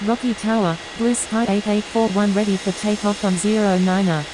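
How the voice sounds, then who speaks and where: A woman voicing a brisk airline pilot in a game, making scripted radio calls in clipped procedural phrasing. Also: mid-range voice, lightly acted. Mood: businesslike. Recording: noisy capture with steady whirring hiss.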